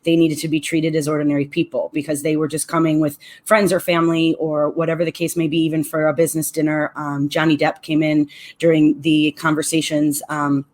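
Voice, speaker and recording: A middle-aged woman, a restaurant owner and event planner, answering radio interview questions in an articulate, measured way. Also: deep voice, flat delivery, darkish tone.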